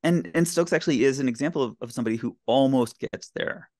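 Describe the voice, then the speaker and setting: A man speaking as a podcast guest in casual, enthusiastic discussion, with emphatic and halting phrasing. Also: mid-range voice, darkish tone, no echo, studio quality. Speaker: podcast guest, blogger and writer.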